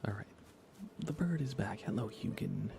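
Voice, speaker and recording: A man hosting an ASMR gaming livestream, speaking in a breathy, hushed, reassuring whisper with some vocal fry. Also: on the low side, animated, normal speed, background noise. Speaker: livestream host.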